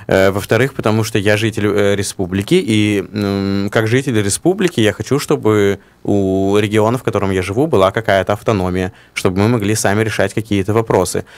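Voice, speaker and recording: A man co-hosting a radio talk show, speaking in a measured, deliberating way and pausing to find his words. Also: fairly low pitch, fairly even intonation, no room sound. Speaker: radio co-host. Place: radio studio. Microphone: studio microphone.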